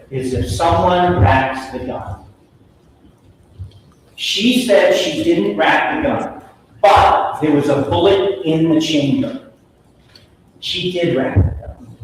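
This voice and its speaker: Slow, emphatic male prosecutor in echoing courtroom. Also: a little high. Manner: emphatic, declarative, short repeated phrases. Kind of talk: courtroom closing argument. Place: large indoor courtroom. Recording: echoey courtroom from far off, faint noise.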